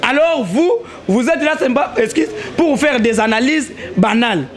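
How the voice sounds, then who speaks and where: Young man, high voice, animated arguing, handheld mic, small indoor studio. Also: moderate pace. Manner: animated, argues with rising emphasis, mixes French and Lingala. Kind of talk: heated casual debate. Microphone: handheld mic held toward him.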